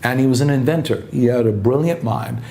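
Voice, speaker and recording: A middle-aged man being interviewed, reminiscing in a relaxed, anecdotal way with plenty of fillers. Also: mid-range voice, a little fast, slight background noise. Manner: relaxed, anecdotal, frequent fillers and repeated yeahs.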